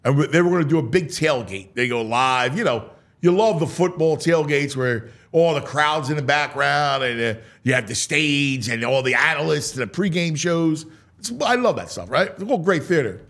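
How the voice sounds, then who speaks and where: A man hosting a sports talk show, mixing dramatic, drawn-out delivery with casual, offhand asides. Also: fairly high pitch, expressive, fairly bright tone.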